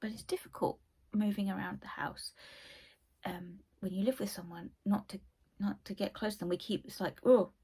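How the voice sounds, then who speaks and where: A woman's voice: mid-range voice, fairly even intonation, moderate pace, warm tone, breathy edge. A young woman with a cold, hosting a book channel and chatting casually about her favourite reads, rambling with lots of asides. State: croaky from a cold. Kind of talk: casual book review chat.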